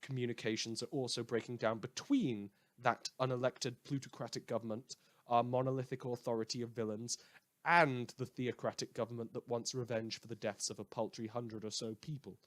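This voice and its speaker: A man hosting a scripted fictional radio show, telling it in a measured, wry and theatrical way. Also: medium pitch, flat delivery.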